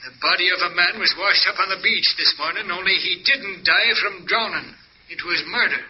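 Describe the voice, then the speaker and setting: Bossy police lieutenant, high voice, blustery, muffled old radio show sound. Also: male voice, fairly quick, husky. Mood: urgent, gruff. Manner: blustery, insistent, colloquial. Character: gruff, excitable, bossy male police lieutenant. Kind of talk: 1940s radio detective acting. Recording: dull old radio recording.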